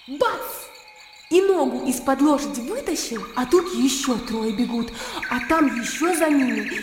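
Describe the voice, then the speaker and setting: An actress playing a lively, naive young Russian gentlewoman in a radio drama, talking in an animated, girlish way. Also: sits high. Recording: echoey hall-like reverb, clear background noise.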